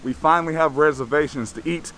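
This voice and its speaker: Male vlogger, slightly raspy, chatty and upbeat, recorded outdoors at a park. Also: fairly high pitch, fast, fairly bright tone, slight background noise, poor audio. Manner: enthusiastic, chatty. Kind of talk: upbeat vlog narration.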